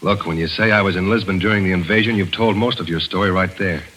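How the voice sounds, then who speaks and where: An actor playing a world-weary American foreign correspondent in a 1940s radio play, laconic and hard-boiled. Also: male voice, deep voice, fast talker, fairly bright tone, rough recording. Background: music.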